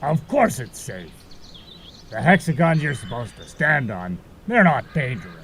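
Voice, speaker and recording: A man's voice: medium pitch, sing-song, a little slow, a bit bright, a little hiss or hum. A man voicing a carefree, cagey marsh fairy guide in an audio drama, talking in a breezy, casual way. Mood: explaining, assured. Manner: breezy, casual, a bit evasive.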